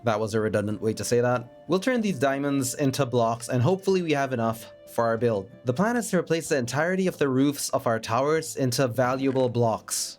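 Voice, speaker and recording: A man narrating his gameplay in a chatty, self-mocking voice-over, a bit raspy from a cold. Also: medium pitch. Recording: faint background music.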